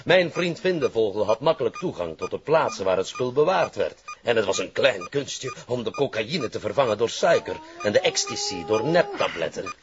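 Casting: Flemish criminal mastermind, taunting and vengeful, pitch leaping widely; muffled, noisy TV crime drama sound. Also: male voice, wildly swooping pitch, moderate pace, hardly any pauses. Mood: tense, gloating. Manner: menacing, taunting, commanding. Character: vengeful, power-hungry criminal mastermind holding a hostage.

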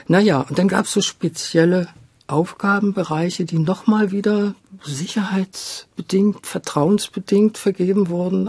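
A woman over seventy reminiscing as an interview guest, thinking aloud in a halting, thoughtful way. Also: fairly low pitch, vocal fry, a little mellow, breathy edge, no room sound. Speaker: interview guest, radio drama director.

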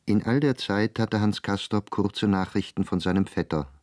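Low, dry-sounding male literary narrator. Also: moderate pace, darkish tone, no room sound, lightly acted. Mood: composed. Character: composed, dryly ironic literary storyteller. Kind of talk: scripted literary radio narration.

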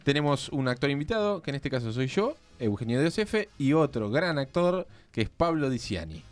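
A man's voice: mid-range voice, big pitch swings, moderate pace. An Argentine man presenting a radio drama programme live from a studio. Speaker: radio host and guest actor.

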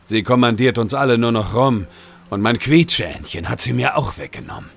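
A man playing a bumbling, sheepish old wizard with a husky, gravelly voice in a comedy audio drama. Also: mid-range voice, lively intonation, normal speed. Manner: hesitant, sheepish. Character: bumbling, embarrassed old wizard reading a letter aloud.

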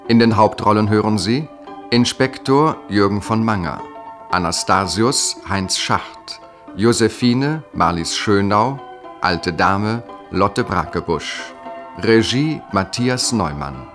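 A male announcer speaks in a formal sixties radio style. His delivery is slow and scripted, in a fairly low voice, with faint music behind him. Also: lively intonation, fairly bright tone.

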